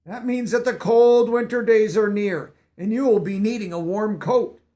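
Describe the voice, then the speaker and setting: A man reading the part of a gentle, motherly female rabbit who patiently gives advice. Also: high-pitched, moderate pace, close to an everyday voice. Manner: patient, instructive.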